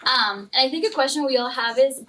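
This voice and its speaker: A woman's voice: sits high, a little fast, fairly bright tone. A young woman from Ecuador hosting a student podcast interview before a live audience, upbeat and friendly. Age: young adult. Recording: distant room mic, faint noise.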